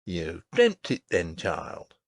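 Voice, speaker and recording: A man's voice: low-pitched, wildly swooping pitch, takes it slowly, pitched below the performer's own voice, heavily acted. A man voicing a fussy, easily vexed woman nurse with a cross, scolding tone.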